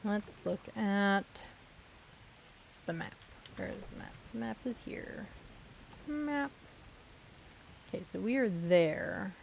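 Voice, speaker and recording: A woman streaming a game, slightly hoarse, talking to herself with dry asides as she plays. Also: medium pitch, expressive, slow, pauses often, a little hiss or hum, poor audio. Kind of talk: casual gameplay commentary.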